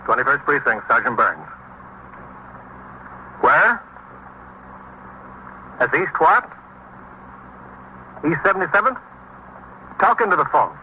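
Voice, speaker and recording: Desk sergeant, American, brisk, dutiful, higher pitch, radio drama, faint hiss. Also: male voice, sing-song. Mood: urgent, questioning. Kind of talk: 1950s radio drama acting. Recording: muffled, hissy vintage radio recording.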